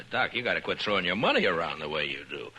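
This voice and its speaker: An actor playing a wry, plainspoken American frontier marshal in a 1950s radio western. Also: male voice, low voice, big pitch swings, normal speed, bright, forceful tone, husky, exaggerated voice. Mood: wry, amused. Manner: dry, teasing, plainspoken. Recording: faintly noisy vintage radio recording.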